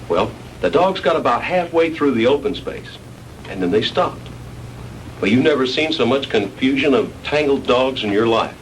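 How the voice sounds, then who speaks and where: A man plays a folksy searcher in a 1960s B-movie, telling his report with emphasis. His voice is of average pitch, over faint vintage soundtrack noise. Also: natural voice. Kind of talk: 1960s B-movie dialogue acting. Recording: noisy 1960s film soundtrack.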